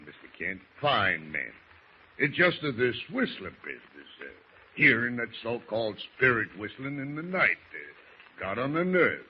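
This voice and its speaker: Crafty ship's master, folksy seafaring dialect, commanding, 1940s radio drama, dull noisy recording. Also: male voice, lowish voice. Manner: folksy old-salt dialect speech.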